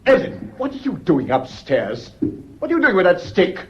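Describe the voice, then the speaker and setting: Husky defensive husband under suspicion. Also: male voice, high voice, wildly swooping pitch, normal speed, exaggerated voice. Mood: uneasy. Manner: stammers when agitated. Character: defensive widower under suspicion, pleading then accusing. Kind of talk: radio drama acting. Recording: dull, muffled lo-fi audio.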